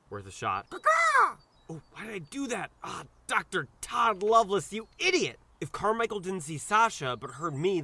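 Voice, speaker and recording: A man's voice: on the high side, pushed and bright, heavily acted. An actor playing an awkward, anxious young male doctor in an audio drama, rambling and scolding himself in a flustered, hesitant way. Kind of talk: audio drama dialogue with first-person inner monologue.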